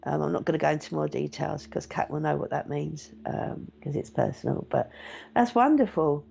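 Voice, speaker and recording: A middle-aged woman talks in a gentle, chatty way, reassuring listeners and reading out their comments with plenty of ums. Her voice sits lowish, with a gravelly fry and a soft, mellow tone. The sound is dull and lo-fi, like a home live stream. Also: animated, moderate pace. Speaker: meditation teacher and psychic medium.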